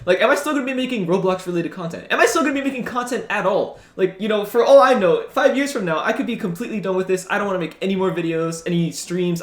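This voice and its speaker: A nineteen-year-old man vlogging casually as a YouTuber, rambling and candid with many fillers and restarts. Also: high-pitched. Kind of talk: casual vlog commentary. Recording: roomy echo.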